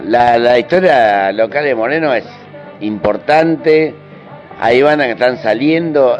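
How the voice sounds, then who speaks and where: An Argentine man giving an informal interview as a musician, speaking in slangy, colloquial phrases and repeating himself for emphasis. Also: mid-range voice. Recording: dull radio interview over music.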